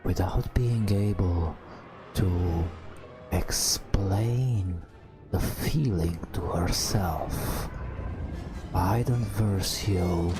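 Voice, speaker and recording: Deep, very slow, hushed male storyteller. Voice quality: soft, breathy, often whispering. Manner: halting short phrases, hushed. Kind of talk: ASMR bedtime story narration.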